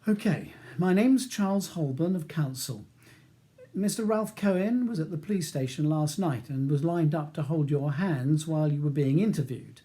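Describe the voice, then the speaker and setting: A man voicing a composed, courteous barrister in his thirties, polite, measured and persuasive, in an audiobook. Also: fairly high pitch, mellow, lightly acted. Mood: brisk, probing. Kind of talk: audiobook character dialogue.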